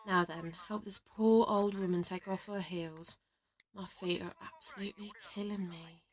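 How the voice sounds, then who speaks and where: A woman acts out a worldly female assassin in an audio drama. She is teasing and chatty, handing out advice with a bossy streak at a medium pitch. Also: fairly even intonation, moderate pace, lightly acted. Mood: playful, bossy. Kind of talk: audio roleplay acting.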